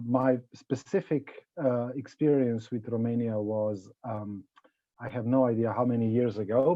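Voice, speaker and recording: A man's voice: medium pitch, no room sound. A man, speaking hesitantly and thoughtfully into an earphone mic on a video call from a dim room. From Slovenia. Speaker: participant. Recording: tinny dry call audio.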